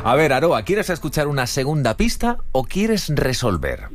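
Animated Spanish man hosting a radio quiz. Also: on the high side, moderate pace, a little hiss or hum. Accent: Spain Spanish. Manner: informal, playful, encouraging. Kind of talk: lively phone-in game show hosting. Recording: radio broadcast with loud music bed.

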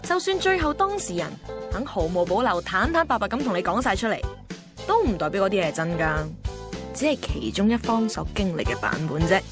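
A woman's voice: medium pitch, sing-song, fairly quick. Confiding woman narrator over music. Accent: Hong Kong Cantonese. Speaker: narrator-host. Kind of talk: scripted narration for radio drama.

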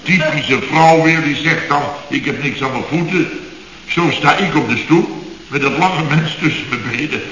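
Blustering, high-voiced contractor boss. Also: male voice, normal speed, sharp tone. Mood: agitated. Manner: blustering, indignant, repetitive. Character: flustered, excitable male building contractor boss recounting his mishaps. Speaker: construction firm boss. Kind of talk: radio comedy serial acting. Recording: hissy, dull, echoey old radio recording.

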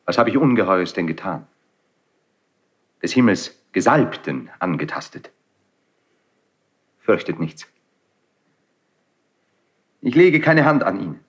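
Casting: impassioned young prince, grieving and defiant, slow, highly dramatic range, classical radio play, dulled vintage sound. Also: male voice, sing-song, husky, heavily acted. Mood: bitter, accusing. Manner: declamatory, impassioned. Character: passionate young Spanish prince, grief-stricken and defiant toward his father. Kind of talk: 1970s German radio drama, classical verse acting. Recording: dull 1970s radio studio recording.

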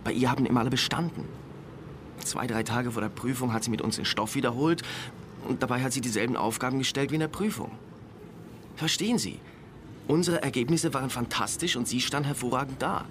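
An actor playing an evasive high school student confiding in police in a radio crime play, hesitant and colloquial. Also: male voice, brisk, background noise, lightly acted.

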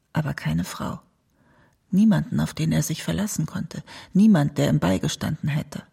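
A woman's voice: low voice, vocal fry, dark tone, breathy edge, full character voice. An actress playing a singer's doting elderly mother in a radio drama, telling her memories with tender wistfulness. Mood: worried, sorrowful.

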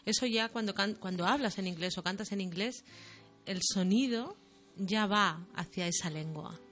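Soft-spoken woman singer, thoughtful. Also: medium pitch, normal speed, faint music behind. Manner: thoughtful, searching for words, soft. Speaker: singer, interview guest. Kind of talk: relaxed radio interview.

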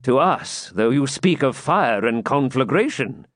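A man's voice: medium pitch, lively intonation, measured pace, fairly bright tone, no echo, clean studio sound. A man voicing a shrewd, controlled counsellor to a medieval prince, speaking in formal archaic courtly language. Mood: urgent, cold.

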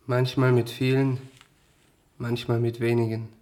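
A man's voice: mid-range voice, little pitch movement, unhurried, a little mellow, close to an everyday voice. A male actor playing a drugged, compliant young prisoner who answers tersely and tonelessly in radio drama. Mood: blank, drugged. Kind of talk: German science-fiction radio play acting.

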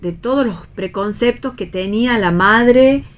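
An Argentine woman talking casually and a little haltingly in a literary discussion, often checking with short tag questions. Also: on the high side. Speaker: panelist on a radio theatre discussion show. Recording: clear background ambient noise.

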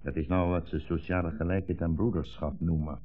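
A low, deep old man's voice comes through a thin vintage radio recording with faint music. It is a blind rabbi, dignified and measured. He is polite yet gently defiant, with quiet irony, in 1960s radio-play style. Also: fairly even intonation, phone-quality sound. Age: older. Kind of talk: 1960s radio drama acting.

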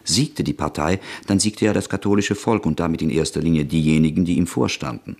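Very deep husky narrator. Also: male voice. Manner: measured, sober. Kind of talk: scripted radio drama narration.

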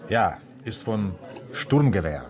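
A man playing a polite, methodical Czech police officer in a radio drama, speaking halting German with a Czech accent. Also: on the low side, big pitch swings, a crowd in the background. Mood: earnest. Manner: halting foreigner's German, courteous. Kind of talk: naturalistic radio drama acting.

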